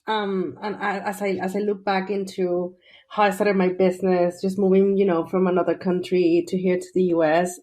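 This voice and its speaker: Guest: woman, 30s, lowish, chatty with restarts. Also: darkish tone. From Venezuela. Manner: chatty, restarts sentences, laughs often. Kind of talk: casual interview.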